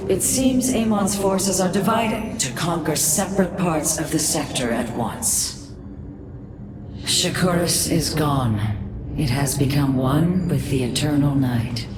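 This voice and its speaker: A woman voicing a grave, wise alien matriarch in a sci-fi game, with a solemn, measured, mystical delivery and an echo on the voice. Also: sits low, noisy background. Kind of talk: dramatic sci-fi game acting. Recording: echoing, distant voice under loud music. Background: orchestral game music.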